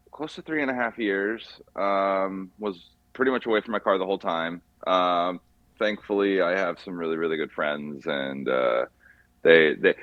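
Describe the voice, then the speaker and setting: A man from California, chatting casually and haltingly as an interview guest as he tells his story in an informal, rambling way. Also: deep voice, a bit bright, no room sound, muffled recording. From California, USA. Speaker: podcast guest, car mechanic by trade. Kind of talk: casual chat.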